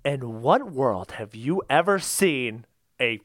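A man's voice: mid-range voice, animated, takes it slowly, fairly bright tone. A man co-hosting a podcast in a casual comedic debate, emphatic and insistent, often repeating himself for effect. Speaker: podcast co-host.

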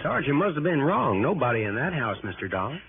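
A young southern cop, talks quick, folksy and polite, tinny noisy old radio show. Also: male voice, medium pitch, very animated, gravelly. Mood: eager, helpful. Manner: folksy, respectful. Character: eager, deferential young small-town policeman. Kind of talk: 1960s radio drama acting.

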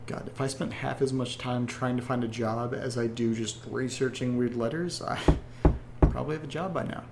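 A man playing a nervous young guy, gravelly voice. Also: mid-range voice, steady tone, normal speed, noisy background, natural voice. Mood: wry. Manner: rambling, nervous, hesitant. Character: anxious young man receiving strange photos. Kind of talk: found-footage horror audio drama.

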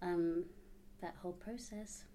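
A woman's voice: on the low side, unhurried, warm tone. A young woman from Uganda, chatting casually with many fillers. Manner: chatty, lots of likes and ums. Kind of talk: casual chat.

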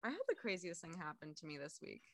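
Brief: young woman co-host, bright animated storyteller, dry close sound, laughs a lot. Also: deep voice, fast talker, dry recording. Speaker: podcast co-host. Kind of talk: casual chat.

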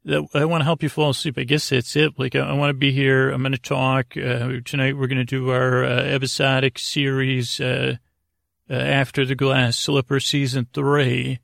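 A man hosting a sleep podcast in a halting, meandering, goofy and self-deprecating monologue, with lulling delivery and a gravelly voice. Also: mid-range voice, level tone, fairly bright tone, dry recording, studio quality. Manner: meandering, halting, stumbling over words. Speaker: podcast host. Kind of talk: rambling bedtime monologue to help listeners sleep.

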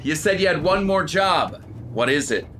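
A woman's voice: fairly low pitch, animated, moderate pace, natural voice. An actress playing a flirty, wry woman outlaw on the run in an audio drama. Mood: urgent. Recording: noisy moving-car sound scene.

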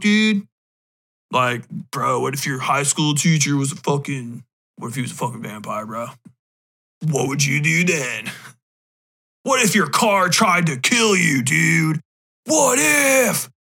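A man voicing an excitable, cocky thirteen-year-old boy trying to seem cool, with insistent, slangy talk. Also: fairly high pitch, unhurried, full character voice. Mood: excited bravado. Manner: slangy, swearing, insistent dude-bro talk.

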